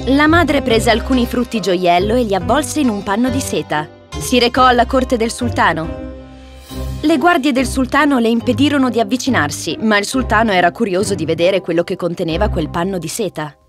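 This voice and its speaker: A woman narrates a children's fairy tale as storyteller. Her voice is fairly high, reading from a script with clear music playing behind. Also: lively intonation, brisk.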